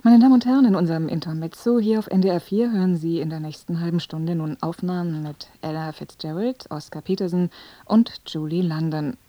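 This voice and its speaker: A woman's voice: fairly low pitch, fairly quick, dark tone, a little hiss or hum. A woman presenting a radio music programme in standard German from Germany, with polite, formal scripted announcing.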